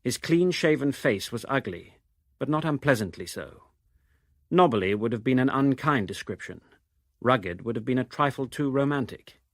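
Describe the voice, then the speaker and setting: A man narrates literary prose from a script. His voice sits at an ordinary male pitch. The recording is dry and close, with very little room sound. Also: animated, normal speed, dry recording. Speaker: reader of novel extracts.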